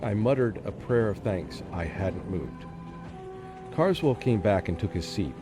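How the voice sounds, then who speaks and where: An actor playing a tormented, fearful professor who narrates his own story in a radio play, with an anguished, halting delivery. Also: lowish voice, lively intonation. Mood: tense suspense. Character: tormented, fearful male professor under a deadly curse. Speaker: narrating lead in a radio drama. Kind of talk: dramatic first-person radio play narration. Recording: prominent music under the voice.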